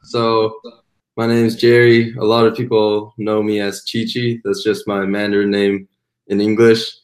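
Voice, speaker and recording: A young man from Taiwan, a podcast guest, telling his story in a relaxed, casual way with fillers. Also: lowish voice, moderate pace, reverberant, low-quality recording. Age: young adult. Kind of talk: casual interview answers.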